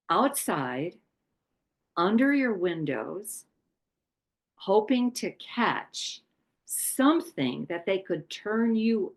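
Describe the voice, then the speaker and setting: A woman from Poland leads a talk on chakras. Her voice sits low and she takes her time, pausing often and trailing into fillers. She teaches informally and thinks aloud as she goes. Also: darkish tone. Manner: slow, halting, with many pauses and fillers. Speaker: chakra workshop presenter. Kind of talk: unscripted spiritual talk.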